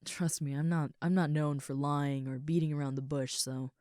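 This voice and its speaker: A woman acting as a young female ogre roommate, with a slightly low voice recorded close and dry. She is shy and caring, speaking softly and casually with hesitant reassurance, as in an intimate audio roleplay. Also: fairly even intonation, moderate pace, no echo, lightly acted. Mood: sincere, bashful. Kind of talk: intimate comfort audio roleplay, addressed to the listener.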